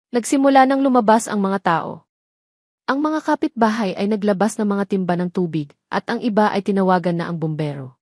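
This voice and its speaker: Woman doing dramatic story narration, medium pitch, really dry recording. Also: darkish tone, no room sound.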